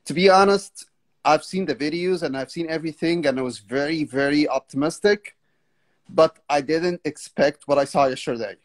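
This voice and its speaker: A man's voice: a little high, normal speed. A man from Egypt calling into a live video chat, polite and eager, answering with short agreements. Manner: polite, eager, short replies with many yeses. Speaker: caller. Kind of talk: casual call-in chat. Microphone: video call.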